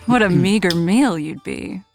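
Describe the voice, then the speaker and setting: A woman's voice: mid-range voice, lively intonation, a little slow, vocal fry, darkish tone, soft background music. A woman voicing a brash female predator creature with fangs, mocking and blunt in a playful way, in an audio story. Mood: menacing playful. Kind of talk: acted dialogue in an audio story.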